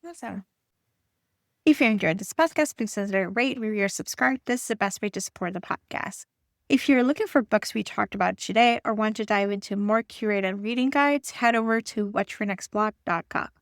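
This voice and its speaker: A woman hosts a podcast with upbeat enthusiasm. Her delivery is casual and conversational, at an average pitch for a woman. The recording is dry, without room echo. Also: a little fast. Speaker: podcast host. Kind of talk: casual book podcast hosting.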